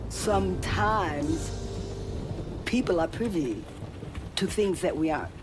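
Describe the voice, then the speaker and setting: A woman playing a kindly, shrewd elderly neighbor with an American Southern accent, motherly and unhurried, in a cinematic video game. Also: fairly low pitch, lively intonation, over music. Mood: gentle, knowing.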